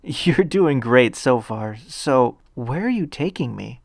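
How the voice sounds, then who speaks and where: Man voicing a soft, shy teenage girl. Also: mid-range voice, animated, slow pace, vocal fry, dry recording, clean studio sound, exaggerated voice. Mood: trusting. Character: gentle, shy seventeen-year-old girl, polite and trusting. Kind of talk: fan fiction audio reading.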